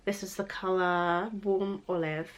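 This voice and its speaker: A young woman chatting casually through a makeup tutorial, her voice a little croaky from a cold, rambling and laughing easily. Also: fairly low pitch, steady tone, unhurried. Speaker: beauty vlogger.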